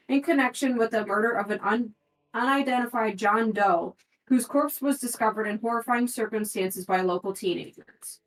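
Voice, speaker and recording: Echoey, higher-pitched woman at a game table. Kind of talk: group discussion and reading aloud a game handout. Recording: roomy echo, distant from the mic.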